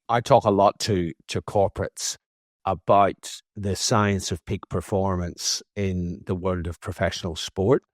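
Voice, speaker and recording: Irish male podcast host, lowish voice, chatty and informal, dry close sound. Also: no echo. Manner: chatty, repeats starts, casual swearing. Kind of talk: casual interview chat.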